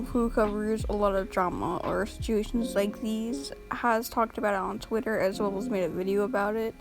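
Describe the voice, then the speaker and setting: A woman recording an early-morning voiceover for a drama commentary video, sounding tired and a little raspy, blunt and exasperated in a casual way with lots of fillers. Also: medium pitch. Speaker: commentary YouTuber. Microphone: home voiceover mic.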